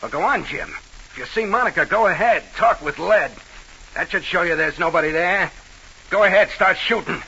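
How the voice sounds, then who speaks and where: A man's voice: high voice, moderate pace, bright, forceful tone, husky, heavily acted, rough recording. A man playing a wisecracking, skeptical gangster club boss with brash slang in an old-time radio mystery. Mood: goading. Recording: hissy vintage radio recording.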